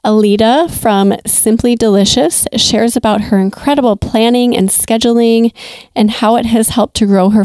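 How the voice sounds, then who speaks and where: A woman's voice: medium pitch, a little mellow, dry recording, studio quality. A woman hosting a podcast interview, upbeat and enthusiastic as she introduces her guest. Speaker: podcast host.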